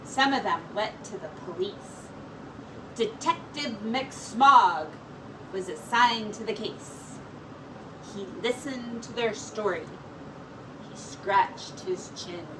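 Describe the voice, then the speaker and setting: Woman teacher, expressive storybook reader for kids, unhurried delivery, recorded from a distance. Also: mid-range voice. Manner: expressive, encouraging, addressing young children. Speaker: teacher reading aloud to children. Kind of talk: animated picture book read-aloud. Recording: distant mic with clear background noise.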